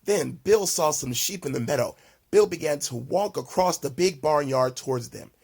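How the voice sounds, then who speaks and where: A man reading a children's storybook aloud in a deliberate, theatrical way for young listeners. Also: a little high, animated, creaky, a little mellow, husky, no room sound. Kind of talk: animated storybook narration.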